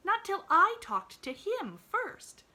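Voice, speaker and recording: A woman's voice: very high-pitched, sing-song, slow pace, pushed and bright, voice pushed up, exaggerated voice. A woman voicing a cheerful, earnest little girl who talks eagerly. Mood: protesting.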